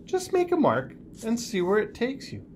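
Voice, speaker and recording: A man voicing a gentle, encouraging woman art teacher in a storybook, expressive and coaxing. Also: very high voice, moderate pace, a little hiss or hum, pitched above the performer's own voice, full character voice.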